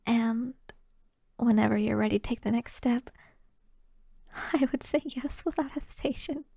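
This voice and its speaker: Woman acting a shy girlfriend, high soft voice. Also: normal speed, vocal fry, husky, no echo, studio quality. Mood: tender, shy. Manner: soft, hesitant, tender, often slipping into whispers. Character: shy, affectionate young girlfriend, easily flustered. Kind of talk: intimate ASMR girlfriend roleplay. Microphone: close microphone.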